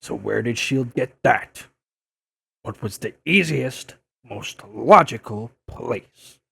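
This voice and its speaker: Man acting a gravelly, combative old newspaperman. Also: medium pitch, lively intonation, slow, fairly bright tone, no room sound, full character voice. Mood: serious, explaining. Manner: blustering, insulting, insistent. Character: gruff, combative older male newspaperman turned blogger. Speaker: investigative reporter interviewing a superhero. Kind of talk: audio drama dub acting.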